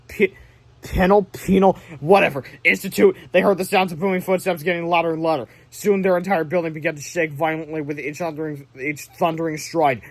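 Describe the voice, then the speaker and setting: Male storybook reader: high register, animated narration, occasional self-corrections, dry close recording. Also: no echo. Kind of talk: children's book read-aloud narration.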